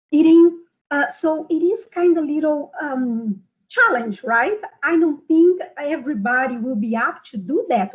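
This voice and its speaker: A woman's voice: sits high, lo-fi sound. A middle-aged woman with a Brazilian Portuguese accent, presenting an informal health seminar and speaking directly to her audience. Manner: frequent fillers and restarts, speaks directly to the audience.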